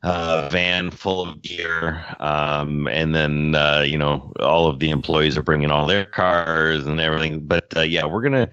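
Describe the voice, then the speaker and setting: A man chatting casually on a podcast as a car shop owner, with a halting, easygoing way of talking. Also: very deep voice, steady tone, pushed and bright, clean tone, no room sound. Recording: dull, muffled, close dry sound.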